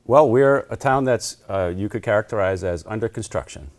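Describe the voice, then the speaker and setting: A man's voice: lowish voice, moderate pace. A man, the town manager, cooking as a guest and explaining each step in an easygoing way, with lots of "uh" and restarts. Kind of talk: casual cooking demonstration.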